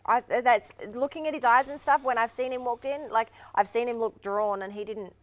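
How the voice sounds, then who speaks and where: Aussie woman co-hosting a boxing show, chatty and animated. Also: on the high side, moderate pace. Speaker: boxing show co-host, boxer. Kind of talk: casual sports chat.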